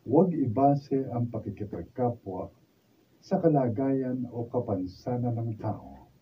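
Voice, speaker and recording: Slow-spoken Filipino man announcing. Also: mid-range voice, lively intonation. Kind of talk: scripted radio drama closing moral. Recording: dull, noisy, distant studio camera recording.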